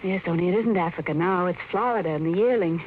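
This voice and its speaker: A woman playing an anxious, gentle mother who speaks in a soft, worried way, in 1950s radio drama acting. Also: fairly low pitch, fast, close to an everyday voice. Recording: muffled, loudly hissing 1950s radio broadcast.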